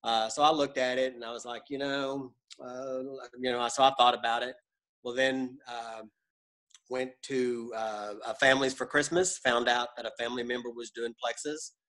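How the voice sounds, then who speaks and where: A man's voice: medium pitch, flat delivery, moderate pace, a bit bright, low-quality recording. A man with a Texan accent telling his story on a video call from home, folksy and rambling with lots of hesitations. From Texas. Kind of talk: casual self-introduction. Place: home room with a lamp.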